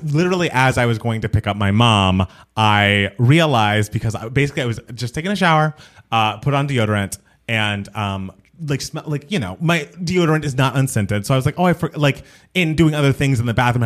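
A man chatting casually as a co-host, animated and quick to laugh. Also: mid-range voice. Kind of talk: casual chat.

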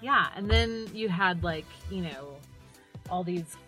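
Irreverent woman podcaster, lower-pitched voice. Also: slow pace, soft background music. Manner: animated, irreverent, sarcastic, swears freely. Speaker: podcast co-host. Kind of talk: casual podcast chat.

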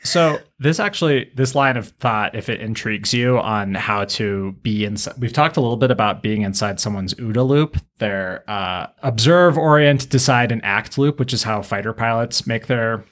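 A man co-hosting a podcast, talking casually in a measured, explanatory way. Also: medium pitch, fairly bright tone. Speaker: podcast co-host.

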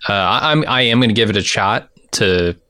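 A man co-hosting a podcast, talking casually about television with a skeptical view, building his points haltingly. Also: on the low side, expressive, sharp tone, no room sound, clean studio sound. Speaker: podcast co-host. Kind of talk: casual opinionated TV discussion.

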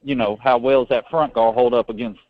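A man calling in to a sports show, relaxed and friendly, with hesitant fillers. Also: medium pitch, quick pace. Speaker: caller. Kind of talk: casual sports chat. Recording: tinny dry phone line.

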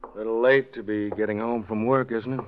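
An actor playing a calm police lieutenant in an old radio drama, measured and quietly accusing. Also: male voice, lowish voice, lightly acted. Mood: suspicious. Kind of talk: 1940s radio acting. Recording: thin, phone-like old radio recording.

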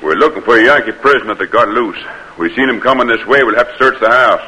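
An actor playing a gruff Confederate sergeant with folksy rural diction in an old radio drama. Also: male voice, mid-range voice, fast talker, bright, forceful tone, a little hiss or hum, close to an everyday voice, poor audio. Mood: insistent.

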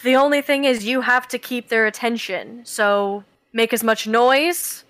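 A woman playing a tabletop game, laying out a plan in character in quick, lively talk. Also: a little high, no room sound. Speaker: player.

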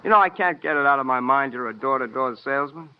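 A man's voice: medium pitch, fast talker, clear, resonant tone, natural voice. A man playing an insolent, mocking young pianist with a languid, taunting delivery in an old radio drama. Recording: muffled vintage radio, faint noise.

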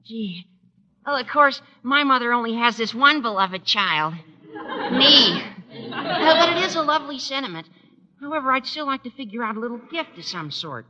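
An actor playing an earnest, bumbling teenage schoolboy in an old radio comedy, speaking hesitantly and gushingly. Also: male voice, very high voice, animated, moderate pace, over a noisy crowd, very noisy. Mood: sheepish, earnest. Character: earnest, squeaky-voiced teenage schoolboy, devoted but bumbling. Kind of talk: 1940s radio comedy acting. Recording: dull old radio recording.

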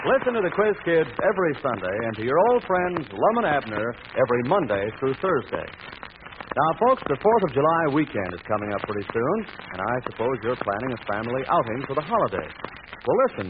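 A man's voice: medium pitch, very animated, brisk. An American man working as an announcer in an old-time radio style. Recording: noisy tinny vintage radio broadcast.

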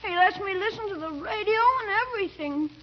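A woman's voice: extremely high pitch, fairly quick. A woman playing a wily, wheedling schoolboy nephew in an old American radio comedy. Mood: meek, feigned weakness. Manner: whiny, wheedling. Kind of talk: 1940s-50s radio comedy acting. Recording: muffled, noisy old radio recording.

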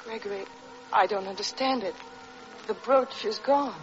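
An actress playing an anxious, fragile wife in an old radio drama, earnest and hesitant. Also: female voice, mid-range voice, normal speed, over loud music, lightly acted. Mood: anxious, pleading. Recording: dull, noisy vintage radio recording.

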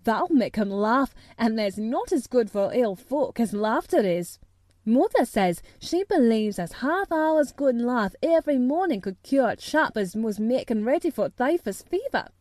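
A woman's voice: a little high, very animated, a little slow, a little mellow, no echo. A woman doing a chuckling Yorkshire country boy. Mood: amused. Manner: chuckling, folksy. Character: cheerful country boy who loves animals.

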